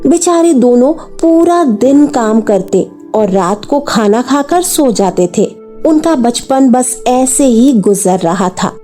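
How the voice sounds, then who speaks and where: A woman's voice: on the high side, expressive, takes it slowly, mellow, soft background music. A woman narrating a scripted children's story.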